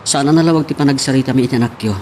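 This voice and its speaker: A man's voice: medium pitch, very fast, dark tone, a little hiss or hum, lightly acted. A man playing a serious, concerned boss in an Ilocano radio drama.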